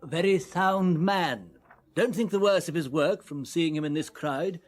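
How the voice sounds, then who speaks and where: Man acting a high-voiced, genial English parson. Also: normal speed, slight background noise, close to an everyday voice. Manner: jovial, chatty. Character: genial, chuckling open-minded English mission priest. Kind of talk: radio drama acting.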